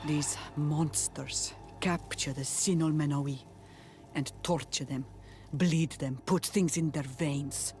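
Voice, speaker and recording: An actress playing a proud, wary island healer in a game, speaking solemnly and formally with bitterness. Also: female voice, mid-range voice, unhurried, close to an everyday voice. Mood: grave, bitter. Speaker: non-player character. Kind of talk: scripted game dialogue. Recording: game music behind the voice.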